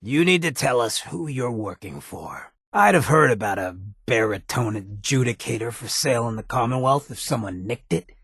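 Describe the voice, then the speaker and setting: An actor playing a roguish, irreverent ghoul in a video game, with a raspy American voice and a wry, cocky, streetwise drawl. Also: male voice, mid-range voice, animated, normal speed, few pauses, a bit bright, no echo, clean studio sound. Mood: blunt, sardonic. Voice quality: raspy, gravelly. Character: roguish drug-loving irradiated ghoul, swaggering, irreverent, loyal. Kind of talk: video game companion dialogue.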